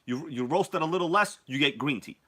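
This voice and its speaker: Sick, hoarse-voiced live stream host. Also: male voice, a little high, lively intonation, fast, pushed and bright. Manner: joking, chatty, greets viewers by name. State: sick with a cold, losing his voice. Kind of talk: casual live stream chat.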